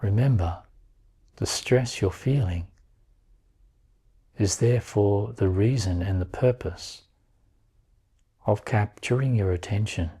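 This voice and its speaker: A man guiding a meditation in a calm, unhurried manner, leaving long pauses between short phrases. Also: fairly low pitch, breathy edge. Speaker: meditation guide. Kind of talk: guided meditation.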